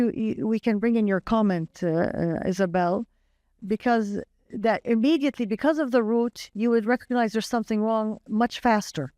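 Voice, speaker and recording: A woman's voice: fairly high pitch, darkish tone, no echo. A woman on a panel thoughtfully restating and answering a question about spotting misspellings. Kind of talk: conference panel discussion. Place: conference venue.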